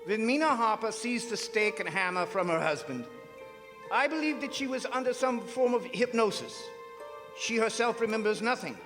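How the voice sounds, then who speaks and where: A grave, authoritative vampire hunter, acted by a man speaks with dramatic urgency in a very high-pitched male voice. His radio-play lines ring with long hall reverb, with music behind. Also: expressive, moderate pace. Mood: solemn. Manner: solemn, imperative, dramatic. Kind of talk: stage radio drama acting. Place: performing arts centre stage.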